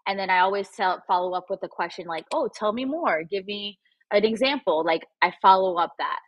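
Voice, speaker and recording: A Spanish-speaking woman in her thirties from Puerto Rico, giving advice in a lively video-call interview through earbuds. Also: mid-range voice, brisk, dry recording, dull audio. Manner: animated, acts out quoted voices. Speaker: guest photographer and coach. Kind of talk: casual livestream interview. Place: room at home. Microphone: wireless earbuds, video call.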